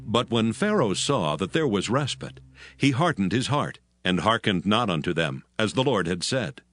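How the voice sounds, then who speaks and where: A man's voice: fairly low pitch, sing-song, normal speed, fairly bright tone. A man giving a solemn, formal scripted narration of scripture. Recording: prominent music and effects behind.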